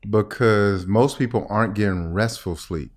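A man's voice: fairly low pitch, normal speed. A middle-aged American man speaking conversationally as a podcast guest, explaining his points and checking that the listener follows. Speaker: podcast guest, health expert. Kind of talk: conversational interview. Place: small indoor room at a table. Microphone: podcast microphone on boom arm.

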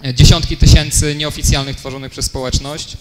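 A man's voice: fairly high pitch, level tone, brisk. A young man giving a technical conference talk in a lecture room, explaining step by step with many fillers and self-corrections. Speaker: conference speaker. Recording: long hall reverb, faint noise.

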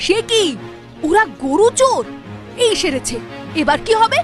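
A woman's voice: extremely high pitch, big pitch swings, a little slow, exaggerated voice. A woman voicing an easily flustered young village child in a children's cartoon. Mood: alarmed. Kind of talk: Bengali children's cartoon dialogue. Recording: music behind the voice.

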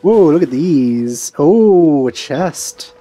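A man's voice: fairly high pitch, lively intonation, very slow, warm tone. A man giving casual commentary as he plays a video game. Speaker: let's player. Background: game music.

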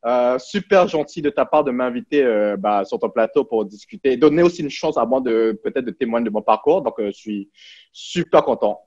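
A man in his thirties from French Guiana talking casually and haltingly as an interview guest on a video call. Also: mid-range voice, expressive, darkish tone. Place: small plain room indoors. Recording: dull, lo-fi video call audio.

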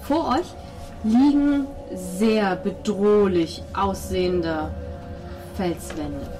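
A woman's voice: medium pitch, extremely slow. A woman, narrating a tabletop role-playing game as game master. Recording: loud music under the table talk. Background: music.

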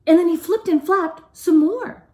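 A middle-aged woman reading a picture book aloud to young children in an expressive teacherly way. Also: extremely high pitch, unhurried. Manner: expressive, questioning, child-directed delivery. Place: living room at home, indoors.

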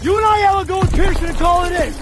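An American sergeant in a war game, hard-driven and quick to anger. He barks orders in a very high raised male voice, with loud score all around. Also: over loud music. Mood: urgent, furious. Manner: barking orders. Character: hard-driven, short-tempered US army sergeant.

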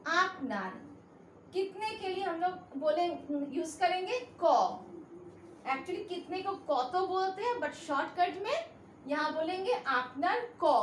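A middle-aged woman teaching a language lesson at a whiteboard, slowly repeating each phrase for her learners. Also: extremely high pitch, echoey room, a little hiss or hum. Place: indoor room in front of a whiteboard.

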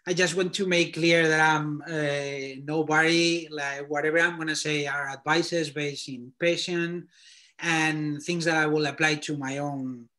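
A man's voice: on the high side, fairly even intonation, a little slow, sharp tone. Spanish-accented man on a video call. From Spain. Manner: loose, filler-heavy, thinking aloud. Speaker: guest portfolio reviewer. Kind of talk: casual video call talk. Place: small home room indoors. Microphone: laptop webcam video call.

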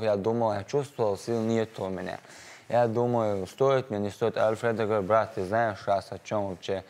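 A young man, an MMA fighter, speaking haltingly with broken grammar at a studio press conference, on a lapel mic. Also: fairly low pitch, a little mellow. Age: young adult. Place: dark studio set, seated in armchair.

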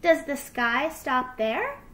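A woman voicing a curious, excitable young boy fox in a children's storybook, exclaiming with childlike wonder. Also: high-pitched, slow pace, a little hiss or hum, voice pushed up, heavily acted. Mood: gleeful, eager.

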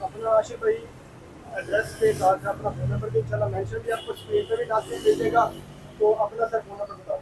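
A high, creaky male voice hosts a casual shop tour. His pitch swings animatedly, and faint noise of the shop sits behind him. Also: very animated, brisk, a little mellow, rough recording. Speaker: video host, interviewer. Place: small crowded shop indoors.

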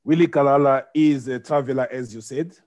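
Middle-aged guy from congo on a video call, calm measured explaining, ordinary pitch. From Democratic Republic of Congo. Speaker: guest, property investor. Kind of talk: casual video-call interview. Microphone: video call with earphones.

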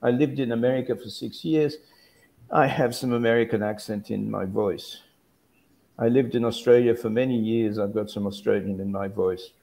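A man's voice: medium pitch, fairly even intonation, moderate pace, gravelly, dark tone. Rambling older man on a video call. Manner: rambling, self-correcting, musing. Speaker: guest academic. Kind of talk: casual discussion on a video call.

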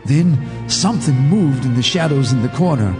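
An actor narrating a radio horror drama as a haunted neighbor, in a grave, measured storytelling manner. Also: male voice, medium pitch, animated, over music, natural voice. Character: haunted male neighbor telling a horror tale.

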